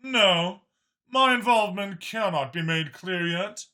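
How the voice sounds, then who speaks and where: A composed alien commander, put on by a male narrator for a sci-fi audiobook. The voice is pitched up and very bright, with a measured, deliberate delivery. The humor is dry and understated. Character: composed, dryly witty alien ally giving orders over comm.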